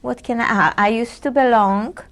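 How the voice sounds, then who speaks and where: A Polish-born woman in her sixties giving oral history testimony in an interview, often pausing and searching for words. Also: on the high side, a bit bright. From Poland. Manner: hesitant, searching for words with many ums and restarts. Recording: 1980s audio cassette interview.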